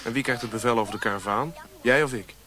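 Man acting irritable telegraph operator, medium-pitched voice, blunt, challenging, radio drama. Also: fast, bright, forceful tone, close to an everyday voice. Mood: irritated.

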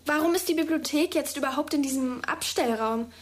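A woman's voice: sits high, fairly quick, slight background noise, lightly acted. A young woman playing a timid, frightened but candid teenage schoolgirl in a naturalistic radio drama, hesitant and plain-spoken. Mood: questioning.

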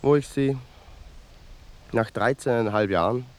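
A man playing a plain-spoken local eyewitness who guides a reporter, halting and searching for words. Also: medium pitch, close to an everyday voice. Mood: halting, earnest. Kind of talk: acted on-location radio interview.

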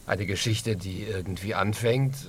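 Dreamy, sighing little piglet, low voice. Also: male voice, steady tone, husky. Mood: amused, curious. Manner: sighing, slow, often hushed. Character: gentle, dreamy, contented young male piglet. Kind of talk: children's radio play acting.

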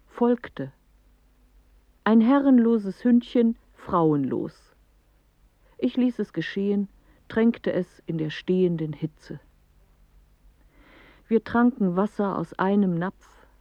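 An actress playing a clever, dreamy woman who recites a poetic radio play monologue in measured, declamatory phrases. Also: lowish voice, no room sound, dull audio. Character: clever woman musing in riddles, dreamy storyteller of images.

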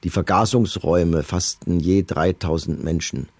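An actor playing a middle-aged camp commandant in a documentary radio play, reading testimony in a measured, matter-of-fact, bureaucratic manner. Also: male voice, low voice, a little mellow, no room sound. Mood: coldly factual.

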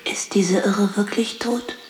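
An actress playing a haunted madwoman who laments to herself, husky and often whispering, in slow repetitions of a poetic radio play. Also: mid-range voice, steady tone. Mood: haunted, anguished. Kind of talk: poetic radio drama monologue.

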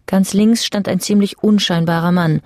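A woman's voice: medium pitch, steady tone, moderate pace, mellow, no room sound, lightly acted. A woman as the composed narrator of a radio crime drama, reading literary prose in a sober, restrained manner. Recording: music bed under the voice.

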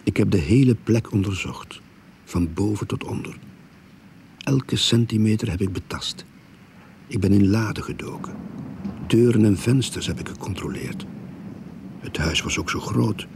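Radio drama casting: sceptical novice investigator, creaky and low, husky, colloquial, a bit awkward. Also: male voice, expressive, unhurried, long pauses, darkish tone, slight background noise. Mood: weary narration. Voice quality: gravelly, husky. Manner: hesitant, colloquial, grumbling. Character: reluctant, sceptical novice male private detective, awkwardly polite.

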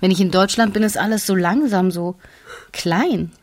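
A woman's voice: medium pitch, normal speed, lightly acted. A woman playing a wistful German expatriate, musing in short broken phrases in a naturalistic radio drama.

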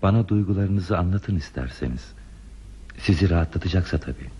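An actor playing a gentle, attentive man in a radio play, speaking politely and patiently as he reassures and probes. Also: low voice, expressive, a little fast, breathy edge, full character voice. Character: gentle, attentive male confidant, courteous and patient. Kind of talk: Turkish radio theatre acting. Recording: muffled lo-fi radio drama, faint noise.

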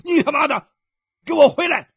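A man acting an enraged, jealous husband who shouts coarse demands at his wife in an audiobook drama. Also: very high-pitched, animated, fast talker, gravelly, no echo, studio quality, voice pushed up, full character voice. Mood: furious shouting.

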